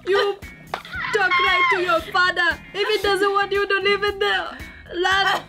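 A woman's voice: very high voice, expressive, measured pace, loud music behind the voice, pitched above the performer's own voice, exaggerated voice. A woman voicing a bossy, indignant cartoon crab who lectures like a strict elder. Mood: scolding, indignant. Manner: indignant, admonishing. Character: scolding, bossy crab lecturing a young mermaid.

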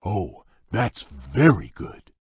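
Deep husky gentle beast prince. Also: male voice, huge pitch swings, takes it slowly, dry recording, clean studio sound, exaggerated voice. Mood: courteous. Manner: courteous, formal, gently earnest. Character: gentle cursed beast prince, large, shy, polite. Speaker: game character. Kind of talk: video game voice lines. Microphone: dry close studio mic.

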